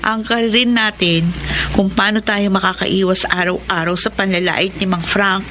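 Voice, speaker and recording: A woman acting a sensible older sister in a radio drama. Her voice is mid-pitched, earnest and protective, that of a poor young orphan. Clear ambient noise sits behind her. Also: fairly even intonation, moderate pace, fairly bright tone, natural voice. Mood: worried, reproving. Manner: earnest, explaining.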